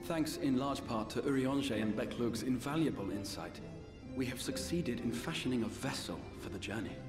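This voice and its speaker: Courteous fantasy game leader, male actor: measured, sincere, formal, mid-range, roomy echo over music. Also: medium pitch, expressive, lightly acted. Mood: earnest, resolute. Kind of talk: scripted video game voice acting. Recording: echoey reverb under game music.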